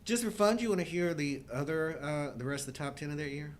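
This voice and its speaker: A middle-aged man co-hosting a show, chatting casually about movies with joking commentary. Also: fast talker. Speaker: co-host of a live chat show. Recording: low rumble and background noise.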